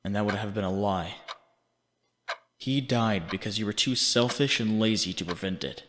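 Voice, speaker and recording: A man playing a haunting inner voice, narrating in the second person with deliberate, accusing, dramatic delivery. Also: fairly low pitch, close to an everyday voice. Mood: somber, accusing. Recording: long reverb, faint background noise.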